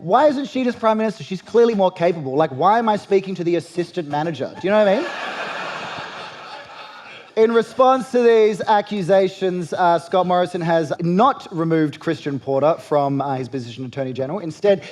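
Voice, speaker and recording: A man's voice: on the high side, a little mellow. A young Australian man hosting a late-night talk show, delivering a sardonic satirical monologue with punchy jokes.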